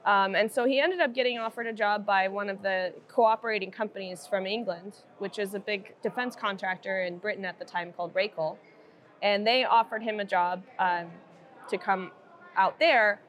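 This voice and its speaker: A woman from Iceland, a podcast guest telling her backstory in a relaxed, chatty way. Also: mid-range voice, normal speed. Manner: relaxed, chatty, laughs easily. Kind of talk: casual interview conversation.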